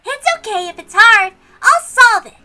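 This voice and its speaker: A woman voicing an eager, confident little girl in a visual novel read-aloud, earnest and excitable. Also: very high-pitched, moderate pace, a little hiss or hum, pitched above the performer's own voice, heavily acted. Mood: excited.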